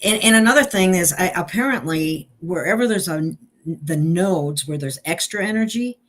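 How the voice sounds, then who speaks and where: A woman's voice: fairly low pitch, expressive, moderate pace, a bit bright. Earnest woman hosting spiritual talk. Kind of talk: spiritual talk show conversation.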